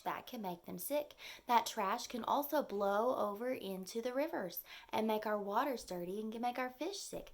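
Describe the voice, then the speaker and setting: A woman teacher speaking to young children in simple, clearly phrased language, as in a storytime lesson with reading aloud and explaining. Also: a little high.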